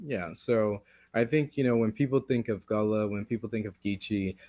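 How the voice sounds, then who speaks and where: Young guy, lowish voice, guest in a chat, lots of um and you know. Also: normal speed, darkish tone. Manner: hesitant, many fillers. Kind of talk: casual interview.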